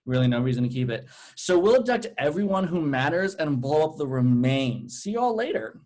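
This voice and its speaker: A man's voice: fairly high pitch, very animated, moderate pace, a little mellow. Man playing a smug, sarcastic evil alien twin. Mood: flippant menace. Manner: sarcastic, flippant. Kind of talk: table read of a comedy script.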